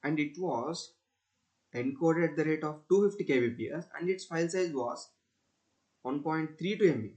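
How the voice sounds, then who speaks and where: A man narrating a step-by-step software demonstration in a halting, repetitive way. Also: a little high. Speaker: student presenter demonstrating software. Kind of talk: screen-recorded tutorial demonstration.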